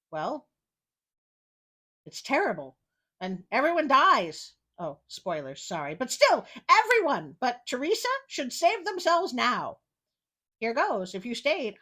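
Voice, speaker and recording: Woman, storytelling host, bright voice, very lively pitch, expressive, wry asides.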